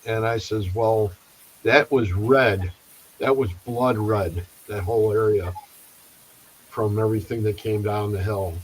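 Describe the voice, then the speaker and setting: A man's voice: on the low side, fairly even intonation, a little slow, a little hiss or hum, rough recording. A male host of a paranormal talk show, rambling and searching for names as he tells stories. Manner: rambling, hunts for names, trails off. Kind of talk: casual panel chat.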